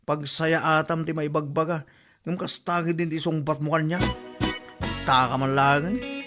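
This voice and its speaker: A man's voice: on the high side, fairly even intonation, measured pace, loud music behind the voice, lightly acted. A man playing a nagging, exasperated village husband with an Ilocano accent in a comedy drama podcast.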